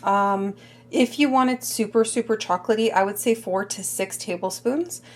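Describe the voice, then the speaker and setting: A woman filming a casual cooking video in her home kitchen, chatty and full of little asides, her voice a bit hoarse. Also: mid-range voice, normal speed. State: slightly hoarse. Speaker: cooking vlogger.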